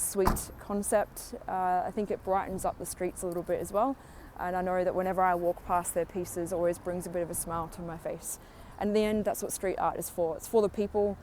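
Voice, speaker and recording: A woman's voice: medium pitch, mellow, slight background noise. A woman in her thirties from Australia with an Australian accent, a tour guide chatting through a live walking tour on her phone with many "uh" fillers. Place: sunny street outdoors. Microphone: phone microphone.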